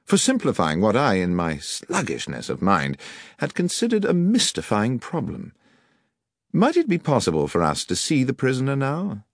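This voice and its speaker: A man acts out a gentleman detective in a storybook. He is shrewd, formal and dryly ironic, with precise, commanding delivery. His intonation swings widely, and the sound is close and dry. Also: mid-range voice, huge pitch swings, moderate pace, dry recording, voice pushed up.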